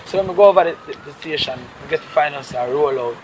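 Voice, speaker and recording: Rambling Jamaican singer outdoors in the wind. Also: male voice, fairly high pitch, quick pace. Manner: rambling, animated storyteller in Jamaican patois. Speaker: reggae singer, guest. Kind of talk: casual interview storytelling. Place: rooftop outdoors overlooking a city. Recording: loud outdoor noise, wind and vehicles. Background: wind and traffic.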